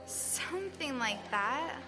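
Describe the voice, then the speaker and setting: A quiet, humble young mother. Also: sits high, animated, slow pace, in a large hall, music in the background. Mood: hushed. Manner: soft, hushed, few words. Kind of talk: live radio drama acting.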